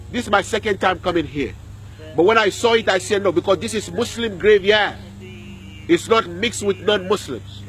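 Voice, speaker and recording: Man, high voice, graveside sermon, outdoors, repetitive questioning, faint music behind. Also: poor audio. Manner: repetitive, questioning the listeners, quotes Arabic verses. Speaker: preacher.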